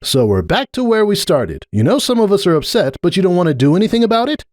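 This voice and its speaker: An earnest cartoon pony mare, acted out by a male reader in a fan story reading. The voice sits well above a man's usual talk and swings widely in pitch as she argues with insistent, exasperated emphasis. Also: very animated, fast talker, darkish tone, no echo, clean studio sound. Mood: pressing, insistent. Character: earnest, exasperated female cartoon pony pleading her case.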